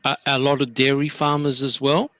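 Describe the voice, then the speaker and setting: Bright-voiced Australian man hosting. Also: medium pitch, flat delivery, normal speed, no room sound. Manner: leads with questions, many fillers. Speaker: podcast host. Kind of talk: casual group discussion.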